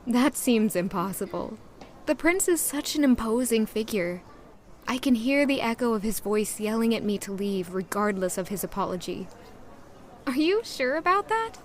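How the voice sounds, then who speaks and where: A woman playing a shy, self-doubting young woman who was once an orphan, in an audio drama. She also narrates in the first person and talks in a soft, modest, hesitant way. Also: on the high side, animated, normal speed, a little hiss or hum, natural voice. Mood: unsure. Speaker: narrator and lead character.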